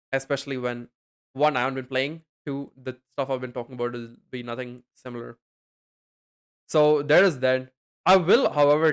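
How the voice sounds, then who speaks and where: A man's voice: mid-range voice, clear, resonant tone. A young man streaming late at night, chatting loosely with his viewers, full of fillers and casual swearing. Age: young adult. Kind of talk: casual stream chat reading chat.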